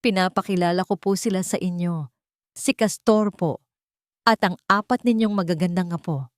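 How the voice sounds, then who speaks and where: A tender young mother in a storybook romance, speaking softly and earnestly, as a woman narrator puts her on. Her voice sits a little low for a woman, with a lift when she pleads. The recording is close and dry. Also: moderate pace, dry recording, natural voice.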